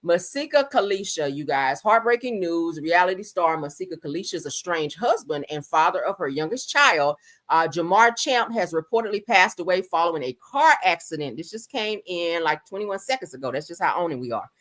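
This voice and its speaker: A woman's voice: on the low side, lively intonation, fairly quick, a bit bright. A woman hosting a livestream, chatting casually over news clips and calling her viewers "child" and "honey". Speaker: livestream host.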